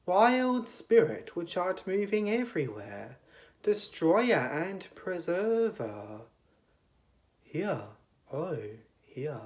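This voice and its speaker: A man giving a dramatic recitation of poetry in a declamatory manner, with long pauses between phrases. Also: high-pitched, big pitch swings, a little mellow. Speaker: poetry reader.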